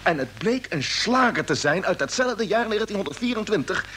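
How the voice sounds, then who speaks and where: Spaceship commander, earnest, defiant, emphatic, vintage radio drama, faint noise. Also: male voice, sits high, very animated, fairly quick, bright, forceful tone, full character voice. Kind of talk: old Dutch radio drama acting. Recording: noisy vintage radio play recording.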